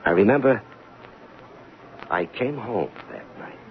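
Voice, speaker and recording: An actor playing an embittered, suspicious advertising executive in an old-time radio drama, sarcastic and full of agitated questions. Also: male voice, on the low side, animated, slow pace. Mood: weary, brooding. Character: embittered, suspicious advertising man, husband unburdening to his doctor. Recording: dull, noisy old radio recording.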